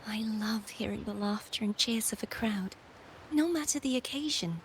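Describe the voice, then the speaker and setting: A woman voicing a gracious, wistful young stranger in a video game, speaking in a soft, halting, polite way. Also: medium pitch, a little hiss or hum, close to an everyday voice. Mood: delighted, wistful.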